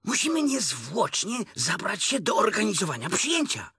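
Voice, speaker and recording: A man puts on a fussy male rabbit for a children's story. He is bossy yet cautious and tends to mutter. The voice is raspy, with very animated pitch. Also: normal speed, dry recording, studio quality, full character voice.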